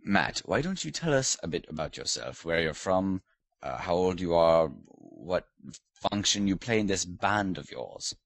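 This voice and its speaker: A man's voice: deep voice, very animated, unhurried, vocal fry, no echo, full character voice. A man putting on a pompous British lord. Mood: haughty, mock-posh. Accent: put-on British. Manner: mock-posh, theatrical, teasing. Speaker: host. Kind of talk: comedic podcast interview.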